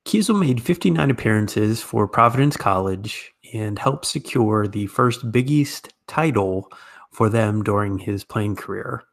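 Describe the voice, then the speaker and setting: A man's voice: fairly low pitch, expressive, unhurried, creaky. A man with an American accent, speaking as a podcast host and interviewer. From United States. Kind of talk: podcast introduction and conversational interview.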